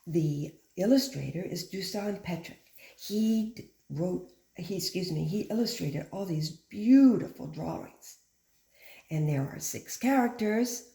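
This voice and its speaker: A woman reading a picture book aloud like a teacher, with simple, instructive phrasing and expressive stress on key words. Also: fairly low pitch, a little slow, creaky. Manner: simple, instructive phrasing aimed at young children. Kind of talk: animated children's picture book read-aloud. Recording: echoey room.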